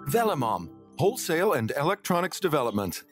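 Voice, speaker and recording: A man's voice: fairly high pitch, big pitch swings, brisk, faint music behind. A man giving polished scripted narration for a promotional company video.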